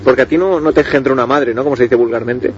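A man's voice: medium pitch, very fast, a little hiss or hum. A man from hosting a radio phone-in in casual chat, with a teasing, jokey manner. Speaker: radio host taking phone calls. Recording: dull lo-fi radio recording.

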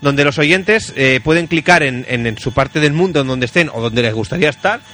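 A man's voice: on the high side, lively intonation, brisk, pushed and bright. A man with an accent from Spain hosting a casual radio chat show in a playful, teasing, exclamatory way. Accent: Spanish from Spain. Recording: music behind the voice.

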